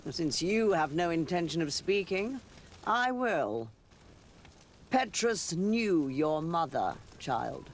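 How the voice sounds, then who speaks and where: A man playing a shrewd, sardonic political rival in a video game, speaking in a deliberate, knowing way. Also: a little high, very animated, a little hiss or hum, heavily acted. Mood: pointed. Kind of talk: video game cutscene acting.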